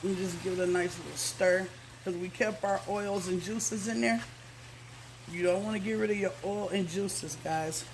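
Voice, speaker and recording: A slightly hoarse woman chats through a home cooking vlog, repeating herself and calling her viewers "guys". Her voice has a gravelly, creaky edge. Faint noise and pan sizzle sit behind her in the kitchen. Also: mid-range voice, steady tone, moderate pace, poor audio. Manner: chatty, says "guys" constantly, repeats herself. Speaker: cooking channel host. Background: food sizzling in a pan.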